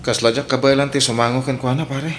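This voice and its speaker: A man's voice: mid-range voice, little pitch movement, quick pace, fairly bright tone, slight background noise, lightly acted. An actor playing a young man in a troubled romance, in an Ilocano radio drama with a Filipino accent. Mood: urging. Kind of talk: Philippine radio drama acting.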